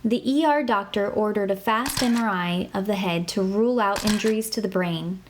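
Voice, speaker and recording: Female narrator, middle pitch, clear and even instructional read; noisy capture. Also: moderate pace. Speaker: narrator of an interactive medical app for kids.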